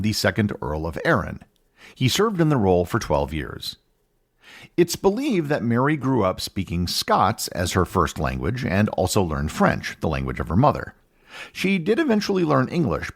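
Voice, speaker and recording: A man hosting a history podcast, reading a scripted narration in a measured storytelling manner. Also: gravelly. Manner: measured storytelling with wide pitch swings. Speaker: podcast host.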